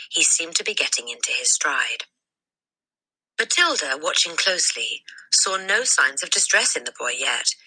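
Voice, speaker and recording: A woman narrates a children's story with expression. Her voice is low for a woman and rough-edged, yet strikingly bright and forceful. She reads as a storyteller. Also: rough recording. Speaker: storybook reader. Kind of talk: expressive scripted read-aloud of a children's story.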